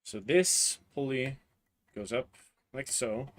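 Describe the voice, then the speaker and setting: A hoarse man in his thirties livestreaming a printer build, talking haltingly as he searches for words and clears his throat. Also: medium pitch. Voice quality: voice cutting out, clears throat often. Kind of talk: live build stream, casual talk while working.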